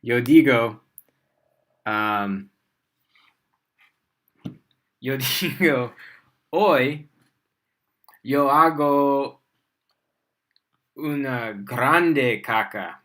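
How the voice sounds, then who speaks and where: Slow-talking bright-voiced male teacher. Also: medium pitch, animated. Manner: chatty, rambling, with frequent fillers; laughs at himself, tries out learner Spanish slowly. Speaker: physics teacher. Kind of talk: casual livestream lesson.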